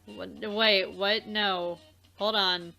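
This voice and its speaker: A woman's voice: medium pitch, fairly even intonation, extremely slow, vocal fry, pushed and bright. A woman doing casual game commentary with a co-host, bantering in an exasperated way and swearing freely. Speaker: let's player. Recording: game music behind the voice. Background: game music.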